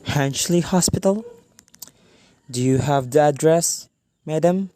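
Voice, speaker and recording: A man playing an impassive telephone and telegram operator in a radio play, formal, detached and measured. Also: fairly high pitch, dark tone, dry recording, clean studio sound.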